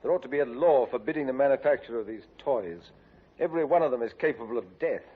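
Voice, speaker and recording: An actor playing a calm, firm police inspector questioning a suspect in a 1950s radio drama. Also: male voice, mid-range voice, quick pace, slightly rough. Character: stern male Scotland Yard inspector. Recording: dull old 1950s radio recording.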